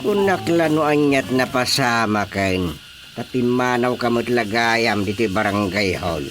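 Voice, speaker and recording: A man's voice: medium pitch, animated, unhurried, over music, lightly acted. A man playing a bossy, grumbling village chairman with an Ilocano accent in a radio comedy-drama. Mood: annoyed.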